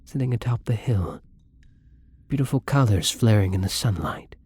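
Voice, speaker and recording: A man playing a lonely, gentle vampire in an intimate ASMR roleplay, speaking softly and haltingly with a wistful tenderness. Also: fairly low pitch, slightly rough. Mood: wistful, fond.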